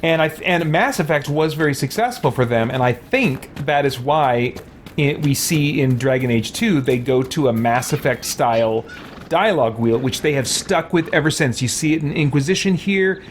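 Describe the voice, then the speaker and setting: A man's voice: a little high, animated, moderate pace, slight background noise. A man giving casual, rambling commentary as he streams a video game. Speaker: streamer and host of a game let's-play.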